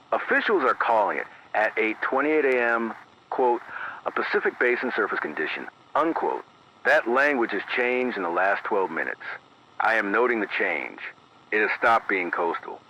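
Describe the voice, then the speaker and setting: A man reading a scripted emergency radio news bulletin in a measured, deliberate, grave manner, through an AM radio filter. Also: fairly low pitch, very animated, pushed and bright. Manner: measured, deliberate, grave, clipped official phrasing. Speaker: radio news anchor in a fictional emergency broadcast. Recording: muffled AM radio sound, background static.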